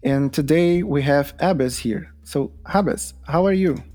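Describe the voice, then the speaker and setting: A man's voice: a little high, measured pace, warm tone, faint music behind. A man hosting a podcast, asking questions in a friendly, slightly hesitant way. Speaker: podcast host. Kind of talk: casual interview.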